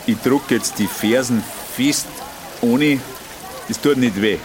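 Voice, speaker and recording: An actor playing a cocksure, flirtatious swimming-pool attendant with a Bavarian-Austrian accent, dry and terse, in a radio drama. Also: male voice, mid-range voice, takes it slowly, background noise, lightly acted.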